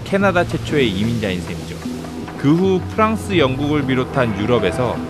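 Lively fast documentary narrator man. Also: medium pitch, over music.

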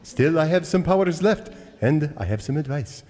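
An actor playing a fussy, formal, pompous genie in a comic radio serial. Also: male voice, a little high, expressive, normal speed, darkish tone, long reverb tail, natural voice. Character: fussy, formal, bewildered genie from a coffee pot. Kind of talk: parody old-time radio serial acting.

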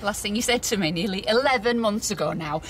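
An actress in a radio drama playing a hurt, firm estranged wife who talks curtly in pointed questions. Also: female voice, mid-range voice, quick pace, slight background noise, lightly acted. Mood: accusing.

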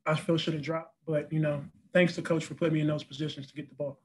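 A man's voice: a little high, little pitch movement, fast, slight background noise. A young man, a college basketball player, answering post-game press questions over a video call, earnest and full of "you know" and repeated words. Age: young adult. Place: press backdrop room, indoors.

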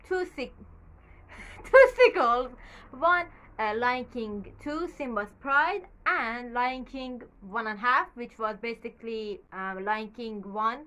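Bright-voiced young woman rambling casually. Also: fairly high pitch. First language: Farsi. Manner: rambling, chatty, with many fillers and restarts. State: a little nervous. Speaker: YouTuber. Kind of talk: casual movie commentary. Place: small bedroom, indoors.

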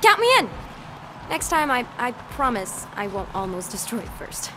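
A woman playing an earnest, excitable young alien girl in a game, youthful and expressive. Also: medium pitch, normal speed, noisy background, close to an everyday voice. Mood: excited. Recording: music behind the voice.

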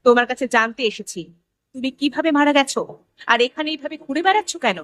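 A woman's voice: high voice, fast talker, fairly bright tone, dry recording, studio quality. A woman playing a fearless, level-headed young village daughter in a horror cartoon, firm and questioning. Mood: bold. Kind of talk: animated horror story acting.

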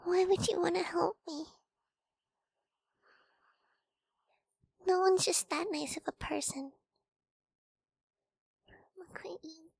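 A woman playing a timid, sweet, wary young wolf girl in an ASMR roleplay, speaking softly and hesitantly, often in whispers, close to the microphone. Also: high-pitched, creaky, dry recording, exaggerated voice. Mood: wary, frightened. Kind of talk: ASMR girlfriend audio roleplay.

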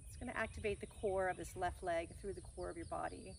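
Calm woman teaching yoga outdoors. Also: fairly low pitch, fast, creaky. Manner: calm, encouraging cues between breaths. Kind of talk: guided yoga class instruction. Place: outdoors on a grassy farm field under trees. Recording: faint outdoor noise, crickets. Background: faint crickets and country outdoor sounds.

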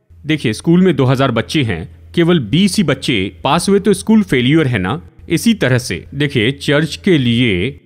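A middle-aged man with a mid-pitched voice gives religious teaching in a studio talk. He explains things didactically and repeats key phrases for emphasis. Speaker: guest Bible teacher.

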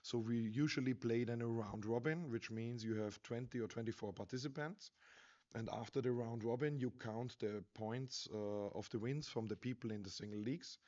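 A man in his thirties from Austria, an English speaker, presenting slides at an expo in a halting, earnest way with frequent fillers and restarts. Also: mid-range voice, darkish tone. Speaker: conference speaker. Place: expo stage in a large hall. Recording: slightly dull lo-fi sound.

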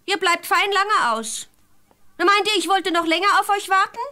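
An actress playing a naive, chatty, simple-minded peasant wife in a fairy-tale audio play, artless and full of exclamations. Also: female voice, very high voice, steady tone, moderate pace, sharp tone. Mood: indignant. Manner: artless, exclamatory, self-justifying.

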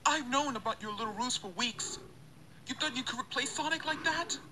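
Woman as a high-voiced earnest young heroine. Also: normal speed, background noise, natural voice. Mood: accusing. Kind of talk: video game voice acting.

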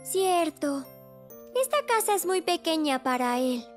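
An actor voicing a curious, supportive little girl in a Latin American Spanish children's cartoon, expressive and childlike. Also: extremely high pitch, a little slow, full character voice. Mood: gentle. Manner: bright-eyed, expressive, childlike. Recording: clear music behind the voice.

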